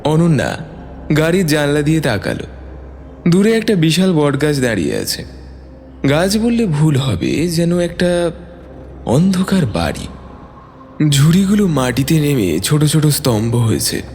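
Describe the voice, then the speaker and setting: Guy narrating a dramatic story, very expressive, light music in back. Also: a little high, measured pace, pauses often. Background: soft background music.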